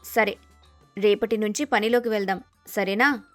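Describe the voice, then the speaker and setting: A woman's voice: medium pitch, steady tone, a little slow, faint music behind, lightly acted. A woman voicing a clever young village daughter-in-law, earnest and respectful but firm, in a story. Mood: calm.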